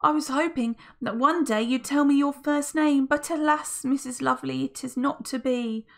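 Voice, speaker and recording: Storybook hero, earnest lad, high and gushing, read by a woman. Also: fairly even intonation, normal speed, creaky. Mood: lovestruck. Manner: high-pitched, theatrical and gushing.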